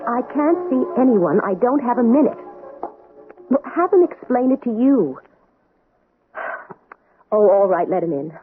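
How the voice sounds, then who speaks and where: An actor playing a busy, formal head doctor who speaks curtly but with idealism. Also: male voice, very high-pitched, big pitch swings, a little slow, long pauses, faint music behind, heavily acted. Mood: brisk. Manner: curt, formal. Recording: tinny old radio broadcast.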